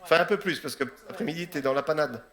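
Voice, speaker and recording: A man's voice: fairly high pitch, fast talker, fairly bright tone. A man who leads a church service, telling a short story and then saying a hesitant spoken prayer full of fillers.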